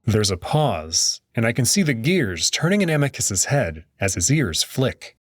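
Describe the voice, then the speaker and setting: A man's voice: medium pitch, very animated, unhurried, no room sound. A man giving dramatic scripted narration as he reads a visual novel story aloud.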